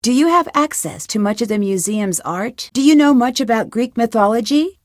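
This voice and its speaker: A young American woman voicing a polite, inquisitive amateur detective in a scripted adventure game, composed and clearly spoken. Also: a little high, lively intonation, moderate pace, no room sound, clean studio sound, natural voice. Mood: polite, casual. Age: young adult.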